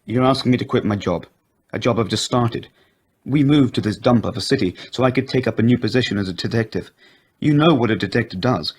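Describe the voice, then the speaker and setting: A man's voice: medium pitch, brisk, husky, lightly acted. A man playing a young male detective who speaks firmly and sounds exasperated. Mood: weary. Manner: firm, exasperated.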